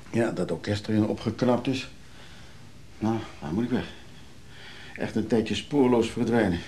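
Man playing laconic down-on-his-luck fixer, mellow dark tone, radio drama, faint noise. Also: lowish voice, lightly acted. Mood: gloomy, brooding. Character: easygoing down-on-his-luck fixer, a relapsed drinker. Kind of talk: Dutch radio play acting.

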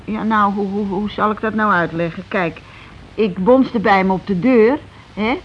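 Actress as halting housewife, muffled noisy recording. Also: female voice, mid-range voice, close to an everyday voice. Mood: flustered, explaining. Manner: halting, repetitive, falters mid-sentence. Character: shaken working-class housewife circling around grim news. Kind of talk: naturalistic radio play dialogue. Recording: muffled, noisy old radio broadcast recording.

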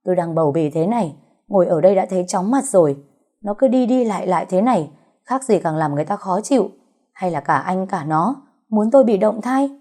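A woman's voice: deep voice, animated, moderate pace, dark tone. A woman voicing a spiteful, jealous pregnant aunt who sneers and picks quarrels in an audio story. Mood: indignant.

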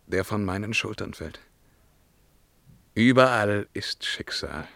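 An actor playing an imperious, brooding emperor in a verse radio drama, declamatory and commanding in a solemn, measured way. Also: male voice, low voice, a bit bright, dry recording, full character voice. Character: imperious, brooding Byzantine emperor, haughty and troubled.

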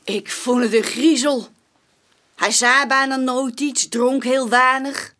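An actress playing a young, plain-spoken barmaid giving witness testimony in a chatty, colloquial way in a radio play. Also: female voice, high-pitched, fairly even intonation, takes it slowly, a bit bright. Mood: disgusted. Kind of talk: naturalistic radio play dialogue.

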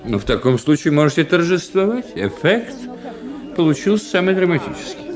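Animated, witty sardonic gentleman confidant. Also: fairly high pitch, a little fast, a crowd in the background. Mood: wry, amused. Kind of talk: theatrical radio play dialogue. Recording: radio play with faint music behind.

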